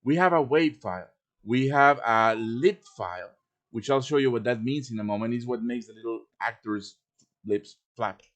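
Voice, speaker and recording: A man's voice: medium pitch, normal speed. A man giving an informal live tutorial, thinking aloud as he works through each step. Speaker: tutorial host. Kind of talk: live software tutorial, casual and improvised. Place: live stream over voice chat.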